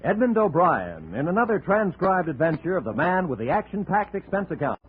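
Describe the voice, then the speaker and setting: Male American announcer: raspy, very animated, big 1950s radio introduction, thin vintage sound. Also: high voice, a little fast. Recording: tinny old radio recording.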